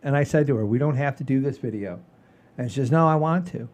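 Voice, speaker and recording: Man, mellow dark voice, casual host chat, halting delivery, repeated phrases for emphasis. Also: mid-range voice. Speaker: host of a comedy show.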